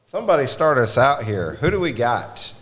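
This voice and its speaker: A man's voice: medium pitch, very animated, moderate pace, fairly bright tone. A man hosting a podcast in a casual, jokey, folksy style of interview banter. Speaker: podcast host.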